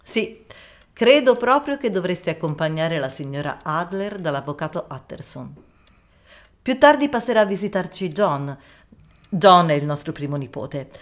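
A woman voicing an elderly wife who speaks matter-of-factly and corrects her husband. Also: on the low side. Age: older. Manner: gently correcting, matter-of-fact. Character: Mary Morstan, Watson's elderly wife, sharp and practical. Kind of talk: amateur audio drama.

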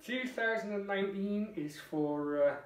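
A man's voice: high-pitched, measured pace. A middle-aged man with a Geordie accent, chatting casually and wryly at home as he reviews a beer, with the odd swear word. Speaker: beer vlogger. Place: home kitchen, indoors. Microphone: camera across the table. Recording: roomy kitchen, voice from a distance.

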